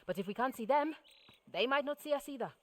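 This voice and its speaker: A measured, resolute crew leader. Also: female voice, sits high, animated. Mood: grave explaining. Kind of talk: video game cutscene acting.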